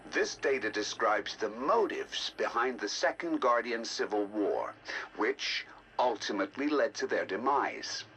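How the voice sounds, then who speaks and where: Animated scholarly male scientist. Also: medium pitch, slight background noise, poor audio. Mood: grave, explanatory. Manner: deliberate, theatrical, musing academic delivery. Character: scholarly male scientist, intrigued by ancient alien data. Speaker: researcher narrating decoded alien history logs. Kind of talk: scripted in-game audio log narration.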